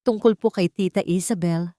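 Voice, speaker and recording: A woman's voice: lowish voice, moderate pace, dark tone, dry recording, natural voice. An anxious young daughter in a dramatic audio story, performed by a woman narrator. She is polite, hesitant and respectful. The sound is close and dry. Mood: anxious pleading.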